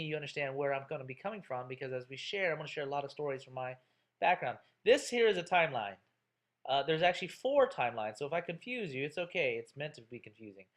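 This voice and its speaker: A man's voice: on the high side, a bit bright. Chatty man teaching a class. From Texas, USA. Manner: chatty and joking, many fillers. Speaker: college instructor. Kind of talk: classroom self-introduction.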